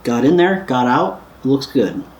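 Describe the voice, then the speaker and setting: A man's voice: mid-range voice, sing-song, measured pace, slight background noise. An American man giving a casual, hands-on DIY repair tutorial in a laid-back, playful, informal way. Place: indoors at home, windows open.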